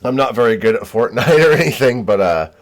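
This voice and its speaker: A man's voice: medium pitch, expressive, fairly quick. A young man chatting casually and in friendly slang as he livestreams a game, with an easygoing manner. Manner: laid-back, friendly slang. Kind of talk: casual chat while playing.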